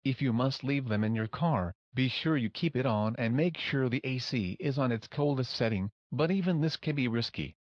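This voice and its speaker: A man acting as an emergency weather announcer. His voice is mid-pitched and matter-of-fact, reading a scripted alert in dry, slightly muffled lo-fi audio. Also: lively intonation, moderate pace, hardly any pauses, dry recording, natural voice. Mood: measured, serious.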